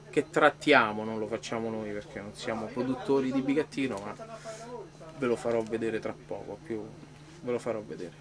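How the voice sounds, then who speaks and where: A man in his thirties, a fishing shop owner, casually explaining his goods in a relaxed, unhurried way. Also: lowish voice. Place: small fishing tackle shop, indoors. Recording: music playing behind the voice. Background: background music.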